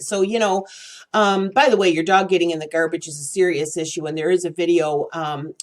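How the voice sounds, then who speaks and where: A woman hosting a pet care channel, giving casual advice in a rambling, chatty way with many fillers. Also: fairly low pitch.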